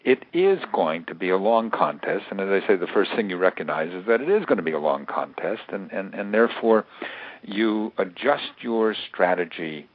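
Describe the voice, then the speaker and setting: Phone-band audio, low-pitched American man, expert interview guest, halting analytical explanation, repeated words, dry sound. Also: expressive, dry recording. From United States. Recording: narrowband phone-like radio interview line.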